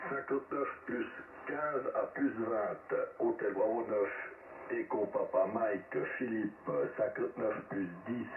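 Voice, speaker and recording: A man in an amateur radio net, carefully spelling out call signs and reports with pauses, over a radio transmission. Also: medium pitch. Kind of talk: deliberate ham radio signal report. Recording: narrowband shortwave radio, distant, echoey, faint hiss.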